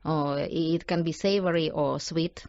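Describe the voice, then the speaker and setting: A middle-aged woman with a Ukrainian accent, answering in halting, careful English as an interview guest. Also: deep voice, fairly even intonation, a little mellow, dry recording, lo-fi sound. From southern Ukraine. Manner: halting second-language English. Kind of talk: hesitant conversational interview. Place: radio studio.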